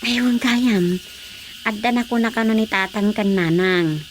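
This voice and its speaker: A woman's voice: medium pitch, a little slow, a little hiss or hum, close to an everyday voice. A woman voicing a hesitant, questioning young village woman in a radio drama.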